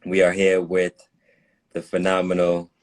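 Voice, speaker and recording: A deep-voiced man hosts a community interview. He asks questions in a friendly, encouraging way and lets the guest talk. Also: completely flat delivery, unhurried. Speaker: interview host, school staff. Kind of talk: interview hosting.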